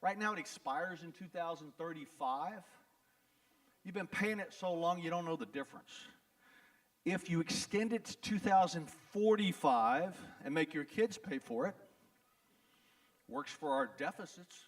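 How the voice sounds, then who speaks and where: A man's voice: a little high, unhurried, far from the mic. A man with a Texas accent, an attorney speaking bluntly and folksily to residents at a town hall, with jokes and a repeated "the bottom line is". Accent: Texan. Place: event venue in front of an audience.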